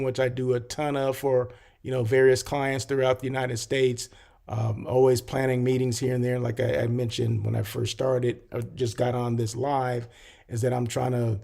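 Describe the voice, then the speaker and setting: A man hosting a live show about hotels, talking casually and rambling with many fillers. He is slightly hoarse and keeps clearing his throat. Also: medium pitch, dark tone. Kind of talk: casual livestream chat.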